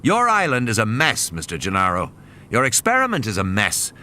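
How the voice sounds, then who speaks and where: A man's voice: fairly low pitch, wildly swooping pitch, brisk, pushed and bright, a little hiss or hum, heavily acted. A man voicing a commanding, angry male scientist in an audiobook, blunt and scolding. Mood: firm, commanding. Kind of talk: audiobook character dialogue.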